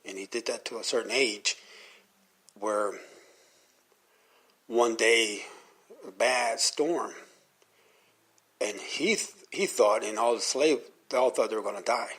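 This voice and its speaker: A man's voice: on the low side, slow, pauses often, fairly bright tone, husky. A man of fifty-nine giving an informal devotional talk in a rambling, conversational, earnest manner.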